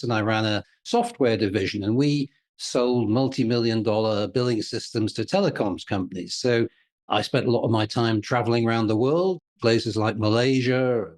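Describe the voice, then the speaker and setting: A man in his fifties with a northeast English accent talks as a guest on a podcast. He speaks plainly and conversationally, with a touch of self-deprecating humor. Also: medium pitch. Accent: English, northeast England. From northeast England. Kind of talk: conversational interview answers.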